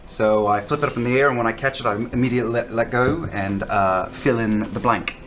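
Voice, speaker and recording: A man playing a tabletop game, chatting casually and haltingly as he thinks aloud. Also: mid-range voice, a bit bright. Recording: clear background noise, room ambience.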